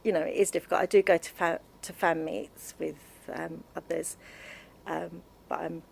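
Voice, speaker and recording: A woman's voice: on the low side, level tone, normal speed, gravelly, breathy edge. A woman in her fifties answering interview questions hesitantly. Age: 50s. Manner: hesitant, self-conscious.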